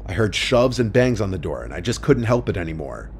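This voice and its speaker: A man reading first-person horror stories in a scripted narration, with a low, hushed storytelling delivery. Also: sing-song, fairly quick, gravelly, slight background noise. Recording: soft music underneath.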